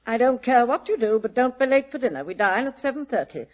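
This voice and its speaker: A woman plays an imperious lady in a vintage radio mystery, brisk and blunt. Her highish voice moves sharply up and down as she commands, through a dull, lo-fi recording. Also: lively intonation, a little mellow, close to an everyday voice. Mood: brisk, commanding. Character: brisk, forthright, imperious wealthy married madame. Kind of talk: old-time radio drama acting.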